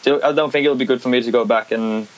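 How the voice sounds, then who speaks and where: A man's voice: mid-range voice, fairly even intonation, fast talker, low-quality recording. A young man with a Danish accent, a skateboarder talking casually in an interview, hesitant with many restarts and fillers. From Denmark. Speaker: skateboarder, competition winner. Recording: faint background hiss.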